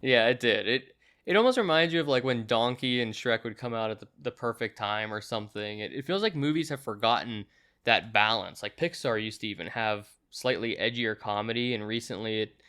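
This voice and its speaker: A man's voice: fairly low pitch, moderate pace, sharp tone, clear, resonant tone. A young man hosting a movie review, chatting casually in an enthusiastic, agreeable way. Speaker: host of a movie review show.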